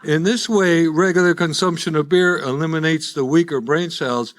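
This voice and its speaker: A man's voice: on the high side, moderate pace. A man in his seventies with a Chicago accent, giving live personal testimony in a wry, folksy, conversational storytelling way. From Chicago, USA. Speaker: guest speaker at a recovery meeting. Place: live in-person meeting before an audience.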